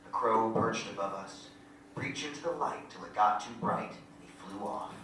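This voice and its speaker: A man's voice: fairly low pitch, moderate pace, close to an everyday voice. A man playing a reflective storyteller recalling country boyhood in a lyrical staged-reading monologue. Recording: echoey hall from far off, faint noise.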